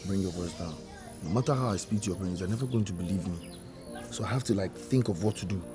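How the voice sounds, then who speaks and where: A man voices an exasperated young man whose voice climbs into incredulous shouting. It sits in a middling pitch, and a music bed runs behind him. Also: lively intonation, a little fast, lightly acted. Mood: tense hushed. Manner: shouts in disbelief, then hushed and pleading. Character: defensive, hot-tempered young man in trouble. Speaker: young man confronted by his partner.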